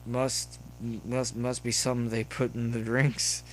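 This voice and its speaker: A man's voice: mid-range voice, flat delivery, a little slow, natural voice. Storybook drunk young stallion played by a man, slurred, stubborn, cynical, faintly noisy recording. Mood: slurring. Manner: slurred, stammering, indignant.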